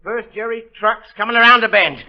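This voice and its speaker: An actor playing an excited, urgent army lookout in 1950s radio drama style. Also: male voice, sits high, a little fast, background noise, natural voice. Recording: muffled, lo-fi vintage radio recording.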